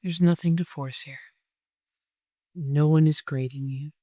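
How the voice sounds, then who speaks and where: Soft mellow meditation guide, really slow and gentle, dry close sound. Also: mid-range voice, fairly even intonation, no room sound. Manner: gentle, soothing, unhurried with long pauses.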